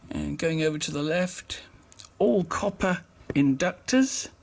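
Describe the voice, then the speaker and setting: A man giving an unscripted hands-on demonstration, talking in an unhurried way and pausing mid-sentence as he works. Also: on the high side, creaky, breathy edge. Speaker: host of a hi-fi repair channel.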